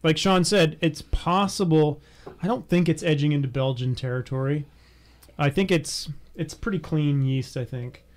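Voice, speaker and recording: A man's voice: on the high side, moderate pace. A man co-hosting a casual panel podcast, chatting informally. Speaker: podcast co-host. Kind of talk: casual panel chat about beer.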